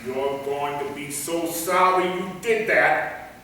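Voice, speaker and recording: Slow, echoey hapless guard played by a man. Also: fairly high pitch, pushed and bright. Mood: bluffing authority. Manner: halting, bluffing. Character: hapless, underpaid museum security guard, bluffing and resigned. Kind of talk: staged play reading. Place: theater, mic at a distance. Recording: very echoey, distant, faint noise.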